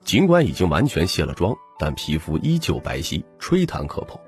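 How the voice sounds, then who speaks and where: A man's voice: low-pitched, huge pitch swings, normal speed, soft background music. A man narrating a novel in the first person as a scripted audiobook.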